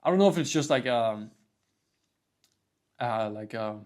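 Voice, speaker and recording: Young man, Danish accent, vlogger, middle pitch, rambling, casual swearing. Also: lively intonation, measured pace, a little mellow. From Denmark. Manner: rambling, swears casually, says "bro" and "like" a lot. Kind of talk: casual vlog monologue.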